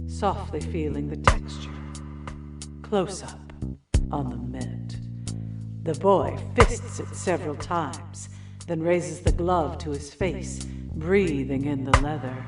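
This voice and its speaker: Seductive screenplay narrator in a writer's head, unhurried and sensual, set back behind loud music. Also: female voice, on the low side. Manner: deliberate, sensual. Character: sultry female inner voice narrating a lurid screenplay. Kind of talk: dramatic screenplay narration in audio play. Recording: distant voice under loud music.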